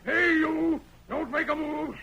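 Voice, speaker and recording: An actor playing a corrupt, blustering sheriff of the Old West, folksy, in old-time radio western style. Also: male voice, very high-pitched, steady tone, slow, gravelly, dull audio, crowd noise, heavily acted. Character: corrupt, blustering frontier sheriff, subservient to the banker.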